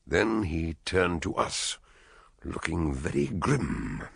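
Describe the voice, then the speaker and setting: A shrewd detective doctor in a radio drama. His voice is low and gravelly, with expressive swings as he recounts and reasons in a deliberate, wry way. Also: full character voice. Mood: measured recounting. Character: shrewd, measured male amateur detective doctor recounting a case. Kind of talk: radio drama acting and narration.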